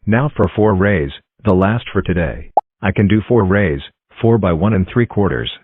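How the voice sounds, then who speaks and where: A man's voice: low voice, animated, normal speed, breathy edge, no echo. A man voicing cheerful talking number block characters in a fan-made cartoon, introducing himself in a chirpy, plain way. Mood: cheery. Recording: tinny phone-like dry recording.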